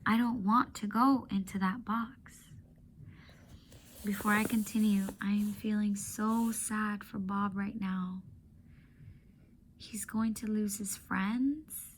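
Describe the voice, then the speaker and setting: A woman teacher reading a novel aloud to her students, often softly whispering and stopping for emotional asides. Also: mid-range voice, fairly even intonation, slow pace, long pauses, a little hiss or hum.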